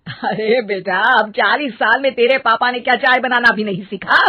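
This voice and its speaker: A woman voicing an enthusiastic, homely older mother-in-law with a husky voice in a cartoon story. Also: on the high side, normal speed, no room sound, highs cut off, lightly acted. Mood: chiding.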